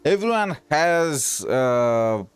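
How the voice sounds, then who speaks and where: A man's voice: fairly high pitch, very animated, drawn-out words, clean tone, soft background music. A man giving casual, offhand and skeptical reaction commentary as a gaming streamer.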